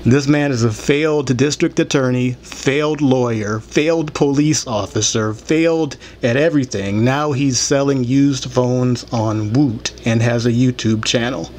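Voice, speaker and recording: A man in his thirties with a mid-pitched voice talks bluntly and with grievance, in the loose, rambling way of an online show host. He is recorded on a desktop mic in a small room, with some noise and ambience behind him. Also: unhurried, few pauses, a little mellow. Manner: blunt, profane, aggrieved. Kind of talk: rambling opinionated monologue to camera. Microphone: desktop microphone, later held in hand.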